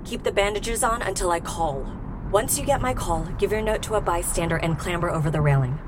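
An actress playing an unflappable fugitive schemer in an audio drama, brisk, deadpan and authoritative. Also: female voice, lowish voice, close to an everyday voice. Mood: commanding. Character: cool, commanding woman fugitive and schemer, unflappable. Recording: vehicle noise behind.

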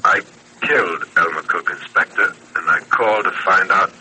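An actor playing a nervous, earnest man who phones the police, husky, in an old radio crime drama. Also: low-pitched, normal speed, pushed and bright, exaggerated voice, poor audio. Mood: timid, hesitant. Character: nervous, earnest male caller confessing to police. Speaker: telephone caller confessing to a crime. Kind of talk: old-time radio detective drama acting.

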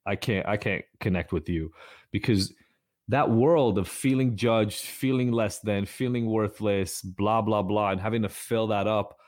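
Man, forties, low voice, candid, rambling, casual podcast talk. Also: measured pace, darkish tone. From Israel. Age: 40s. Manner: candid, rambling, swears freely. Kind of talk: casual conversation.

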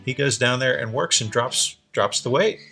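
A man co-hosting a podcast, casually telling film trivia stories with relaxed, dry humor. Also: mid-range voice, moderate pace, gravelly, breathy edge, soft background music. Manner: relaxed, storytelling, dry jokes. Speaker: podcast co-host. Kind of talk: casual movie chat.

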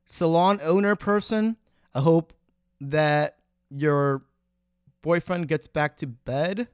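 Male podcast host, clear and slightly high voice, mock-earnest comic delivery on a dry close mic. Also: no echo. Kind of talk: casual comedic podcast banter.

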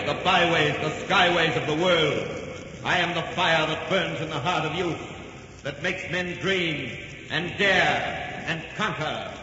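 Booming, echoing spirit of adventure. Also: male voice, sharp tone, a little hiss or hum. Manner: declamatory, portentous. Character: Adventure personified, a grand, dramatic male spirit. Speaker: announcer-narrator. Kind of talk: 1940s radio show introduction. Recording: muffled, echoing old radio recording.

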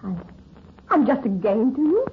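A woman's voice: high voice, very animated, moderate pace, narrow, tinny audio. A thin, hissy old radio recording of a nervous young woman in love. She pleads anxiously in a melodramatic radio style. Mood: anxious, lovestruck. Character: nervous, lovestruck young Swedish-American woman. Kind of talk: 1930s radio acting.

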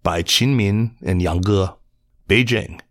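A man reading scripted business news as a podcast host, in a measured, formal newsreader delivery. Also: on the low side, no room sound, studio quality. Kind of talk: scripted news narration, reading articles aloud.

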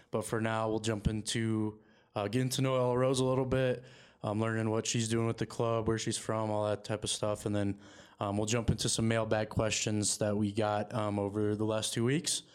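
A man's voice: fairly low pitch, moderate pace, clear, resonant tone. A man hosting a casual sports podcast, talking steadily with lots of "um" and "kind of". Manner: even, steady delivery with many fillers. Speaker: podcast host.

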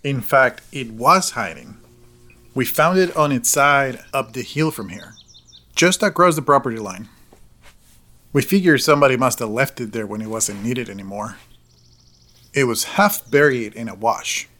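An actor playing a proud, teasing middle-aged farm owner in an audio drama. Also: male voice, medium pitch, expressive, normal speed, sharp tone. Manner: teasing, expansive, fond. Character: Salvador, proud, playful older farm owner and father.

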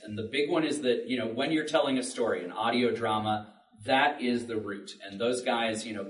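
A man speaking informally and explaining things on a panel at a public event. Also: fairly low pitch. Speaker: podcast writer and panelist. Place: public library panel room. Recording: roomy, distant microphone.

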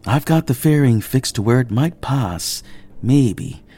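An actor playing a weary, apologetic rocket engineer in a naturalistic audio drama. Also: male voice, mid-range voice, animated, a little slow, warm tone. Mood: frustrated, weary. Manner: downbeat, apologetic. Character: weary, overworked male rocket engineer leading a crew.

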